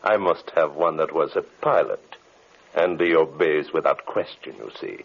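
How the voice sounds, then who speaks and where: An actor playing a ruthless, gloating mastermind in an old-time radio drama, deliberate, commanding and full of menace. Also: male voice, rumbling low, vocal fry, husky, exaggerated voice. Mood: smug, gloating. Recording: dull, muffled old radio recording.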